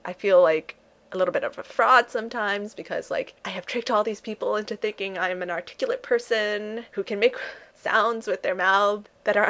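A woman's voice: medium pitch, fairly bright tone, lo-fi sound. A woman in casual confessional chat, hesitant, peppering her speech with filler words. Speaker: podcast contributor in a clip.

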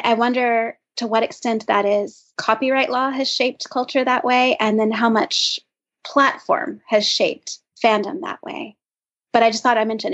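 Chatty woman, dull lo-fi sound. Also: a little high. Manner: chatty, thinks aloud with frequent "like". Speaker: podcast co-host. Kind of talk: casual discussion.

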